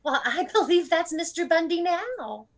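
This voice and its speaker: A woman's voice: extremely high pitch, animated, moderate pace. A woman playing a sweet, naive, fluttery mother with genteel chatty ways in an old-time radio drama. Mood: innocent chatty.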